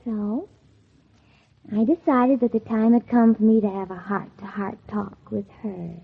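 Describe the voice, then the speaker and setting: Scheming teenage girl, husky edge, feigned innocence over bitterness, 1940s radio drama, thin muffled recording. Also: mid-range voice, fairly even intonation, unhurried. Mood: sly narrating. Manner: feigned sweet innocence turning coldly bitter. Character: scheming, spiteful teenage girl narrating her own revenge.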